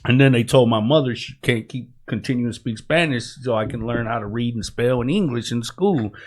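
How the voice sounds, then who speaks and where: A man hosting a casual podcast, talking in a relaxed, slangy way and often checking in with "you know what I'm saying". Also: medium pitch, a little fast. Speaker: podcast host.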